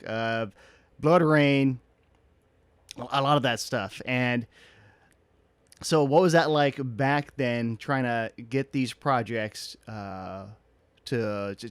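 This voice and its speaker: A man hosting a casual interview, asking in a rambling, halting way. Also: mid-range voice, smooth, dry recording. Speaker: interviewer, host.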